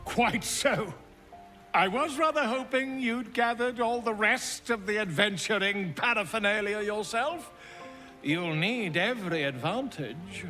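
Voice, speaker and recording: Pompous game bard, flowery and matey, bright high voice, super theatrical. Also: male voice, a little slow, faint music behind. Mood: earnest, encouraging. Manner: theatrical, florid, grandiloquent storytelling. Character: flamboyant, self-important male bard and chronicler, florid and chummy. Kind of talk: video game character acting.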